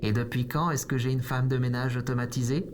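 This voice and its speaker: An actor playing a lazy, grumbling slacker man in his thirties, casual, slangy and deadpan, in an acted audio comedy. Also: mid-range voice, brisk, background noise, natural voice. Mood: sleepy, grumpy.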